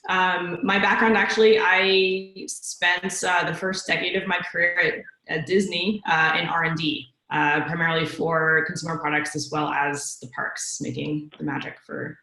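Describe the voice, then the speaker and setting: A woman's voice: lowish voice, flat delivery, normal speed, few pauses, pushed and bright. A woman introducing herself as a webinar panelist. She sounds upbeat and eager. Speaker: panelist, product executive. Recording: echoey room.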